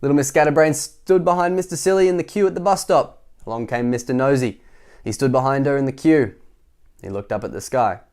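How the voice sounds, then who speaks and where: A man's voice: mid-range voice, a little fast, a little mellow. Sniffly man reading a children's book. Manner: chatty, laughs and goes off on tangents. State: head cold, sore throat, sniffly. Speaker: storyteller.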